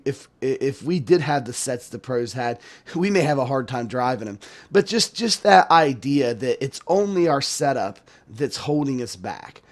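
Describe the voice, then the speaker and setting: A man talks casually to his viewers as the host of a sim racing channel. His voice is hoarse from a sore throat. He rambles and restarts his sentences, and his pitch sits in the middle range for a man. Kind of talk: casual talk to camera.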